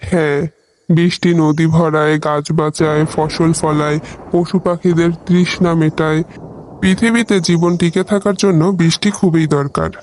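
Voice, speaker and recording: A man voicing a wise, playful talking rain cloud in an educational cartoon, explaining in a measured way and teasing with questions. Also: a little high, steady tone, a little mellow, close to an everyday voice, rough recording. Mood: patient, explaining.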